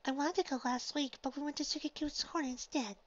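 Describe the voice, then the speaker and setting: A woman voicing a shy female pony who is being quietly firm and insistent in a story reading. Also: high-pitched, fairly even intonation, fast, dull audio, voice pushed up, lightly acted. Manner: insistent, restrained.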